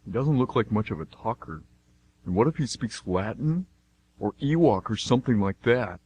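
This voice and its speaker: A man's voice: lowish voice, lively intonation, normal speed, a little mellow, husky, muffled recording. A man playing a sarcastic, skeptical and somewhat nervous friend who wisecracks, in an amateur radio drama. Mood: skeptical, uneasy.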